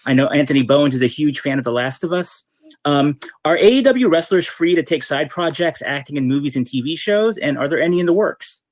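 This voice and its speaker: A man's voice: fairly high pitch, expressive, a little fast, no room sound, clean studio sound. A man, a reporter on a press call, asking his question in a friendly, casual, fan-like way.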